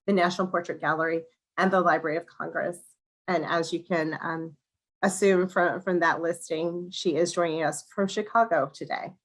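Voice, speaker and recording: A woman with a slightly crackly, hoarse voice hosting an online museum program, reading a welcome and introducing a guest, often clearing her throat and restarting words. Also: on the low side. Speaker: museum education director hosting a webinar. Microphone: video call.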